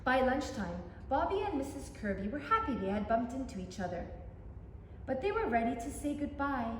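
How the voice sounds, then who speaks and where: A woman storyteller reading a picture book aloud to young children, animated and inviting listeners in with questions, in an echoey room. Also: sits high, moderate pace. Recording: echoey reverberant room, faint background noise.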